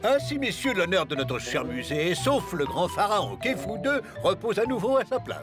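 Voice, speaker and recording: A man voicing a pompous, excitable museum expert in an animated series, theatrical and full of exclamations. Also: sits high, moderate pace, music in the background. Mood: grandiloquent. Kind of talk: French animated series voice acting.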